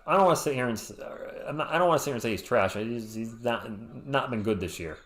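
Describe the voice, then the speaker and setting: Male stream host from Western Massachusetts, mid-pitched, calls the game play by play and talks to chat, recorded in a roomy space. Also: lively intonation, quick pace, a bit bright. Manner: chatty, answers chat messages with joking asides. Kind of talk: live play-by-play commentary. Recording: roomy echo.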